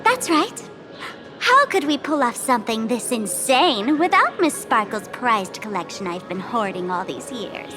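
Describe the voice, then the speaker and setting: A woman's voice: medium pitch, natural voice. An actress playing a mischievous, smugly self-assured young woman trickster in a video game, with a playful, theatrical manner. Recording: studio voice over game music.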